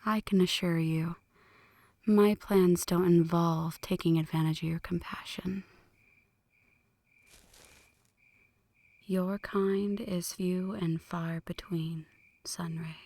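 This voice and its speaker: A woman playing an ancient, lovestruck vampire in a slow, breathy whispered ASMR roleplay, intimate and solemn, close to the microphone. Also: fairly low pitch, steady tone, darkish tone, no room sound. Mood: intimate, curious. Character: ancient flirty female vampire, brooding, lovestruck, world-weary. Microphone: close microphone, dry.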